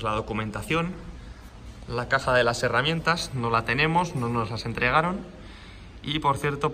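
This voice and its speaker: A man walking around a motorcycle in an indoor workshop, explaining it to the camera filmed on a handheld phone. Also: mid-range voice. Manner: explanatory, shifts to raised voice over a running engine. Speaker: motorcycle workshop owner showing a bike for sale. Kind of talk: hands-on walkaround video. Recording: noisy room with engine sound.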